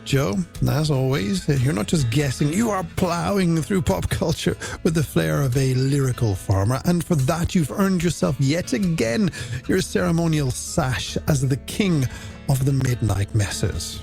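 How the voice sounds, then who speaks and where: A man's voice: a little high, animated, unhurried, vocal fry, darkish tone. A man presenting late-night music radio, with playful, theatrical and wordy banter. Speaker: radio host. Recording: music bed behind the voice.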